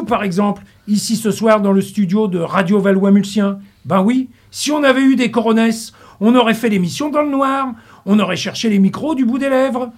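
Husky-voiced man delivering a playful radio column. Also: sits high, a little mellow. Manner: playful, wordplay, mock-grand asides. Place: radio studio. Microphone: studio mic.

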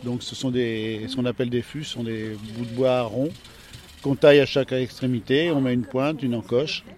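French man, middle pitch, arrow maker being interviewed, halting and casual. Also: steady tone, darkish tone. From France. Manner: hesitant, many fillers, plain-spoken. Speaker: archery club captain and arrow maker.

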